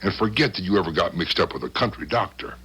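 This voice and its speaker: Folksy, shrewd attorney, wry and halting, very animated husky voice, seventies radio drama. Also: male voice, low voice, heavily acted, low-quality recording. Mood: sly, triumphant. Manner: wry, hesitant, leading questions. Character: shrewd, folksy male country lawyer, calmly needling. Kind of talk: 1970s radio drama acting.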